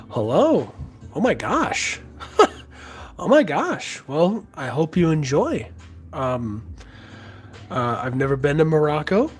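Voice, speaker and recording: A man with a hoarse, raspy voice left over from being sick talks slowly and haltingly. He fills gaps with "um" and "uh" as he chats with viewers during a livestream, with soft music playing behind him. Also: fairly high pitch. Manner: halting, many fillers, answers chat as he works. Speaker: livestreamer sculpting and chatting with viewers. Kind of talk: casual livestream chat. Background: music playing softly.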